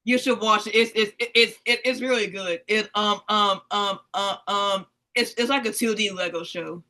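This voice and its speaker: A young woman hosting a casual interview, speaking haltingly with many fillers and repeated words. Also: medium pitch, fairly even intonation, sharp tone. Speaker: interview host. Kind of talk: casual video-call interview.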